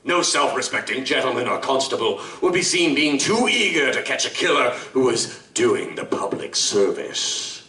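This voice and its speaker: A man's voice: medium pitch, big pitch swings, normal speed, few pauses, bright, forceful tone. A man playing a savage, articulate monstrous killer in a live audio drama, theatrical, grandiloquent and contemptuous. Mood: menacing, philosophical. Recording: roomy live stage, distant mic.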